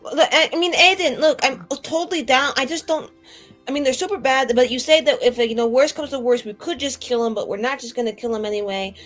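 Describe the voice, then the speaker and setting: A young rebel mage played by a woman speaks in a fairly high voice. She is informal and slangy, willing but uneasy, feeling her way through improvised tabletop game dialogue. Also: brisk, soft background music, natural voice. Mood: doubtful. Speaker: tabletop role-playing player. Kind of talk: casual improvised in-character actual play.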